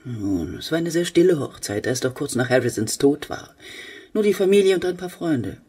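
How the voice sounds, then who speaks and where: Husky, genteel older widow. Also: female voice, deep voice, animated, a little fast, darkish tone, distant mic. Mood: brittle, wistful. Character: genteel older widowed mother, brittle and snobbish. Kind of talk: German radio play acting.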